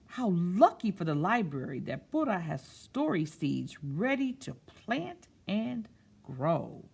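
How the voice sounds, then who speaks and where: A woman reading a picture book aloud for children, stretching key words expressively and pausing for soft explanatory asides. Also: fairly low pitch, big pitch swings, vocal fry, breathy edge. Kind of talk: read-aloud story time with explanatory asides.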